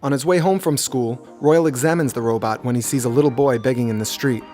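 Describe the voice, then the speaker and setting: A man's voice: mid-range voice, fairly quick, a little mellow. A man reading a scripted children's story as its narrator. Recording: music behind the voice. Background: background music.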